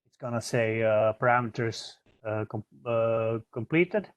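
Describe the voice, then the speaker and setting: A man giving an informal software tutorial as a product trainer, explaining step by step in a hesitant way. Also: medium pitch, a little slow, clear, resonant tone, no room sound.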